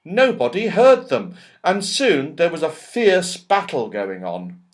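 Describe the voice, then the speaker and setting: A man's voice: on the high side, huge pitch swings, slow pace. A man narrating a children's story as an audiobook, expressively, with dramatic emphasis and storyteller's phrasing.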